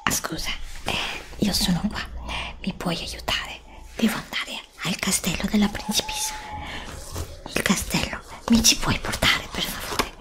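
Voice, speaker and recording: A woman voicing a frightened, weary, distrustful heroine lost in a forest in an ASMR roleplay, breathy, plaintive and hesitant, close to the mic. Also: mid-range voice, measured pace, voice pushed down, close to an everyday voice. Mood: fearful, pleading. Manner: whispered, breathy, plaintive, hesitant with repeated phrases. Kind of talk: ASMR roleplay, whispered acting. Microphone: close desktop USB microphone.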